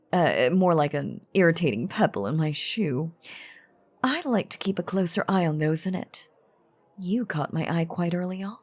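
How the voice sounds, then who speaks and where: A woman playing an ancient, playful yet menacing female vampire in an audio drama, teasing in theatrical, old-fashioned phrasing with a husky, breathy voice. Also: sits low. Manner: theatrical, teasing, archaic wording, deliberate pauses. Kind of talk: dramatic character audio roleplay monologue.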